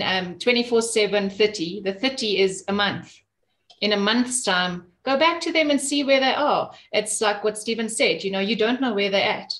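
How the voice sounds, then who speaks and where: A middle-aged woman speaks at a medium pitch on an online panel. She explains her advice in an earnest and direct conversational tone, like a sales professional. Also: a bit bright. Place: small office, video call.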